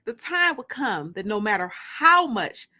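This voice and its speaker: A woman giving an expressive, dramatic first-person reading of fiction, like an audiobook. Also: mid-range voice, unhurried. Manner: expressive, acts out dialogue. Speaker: narrator reading fiction aloud.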